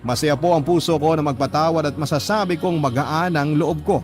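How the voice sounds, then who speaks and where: A man's voice: on the high side, brisk, darkish tone, soft background music. A man with a Filipino accent reading a listener's letter as a heartfelt, dramatic radio storyteller. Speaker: radio show host and narrator.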